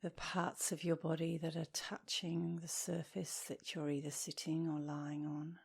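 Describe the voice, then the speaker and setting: A woman leading a guided meditation as an instructor, speaking softly and soothingly, with gentle, breathy phrase endings. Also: low voice, little pitch movement. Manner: soothing, gentle, unhurried with long pauses.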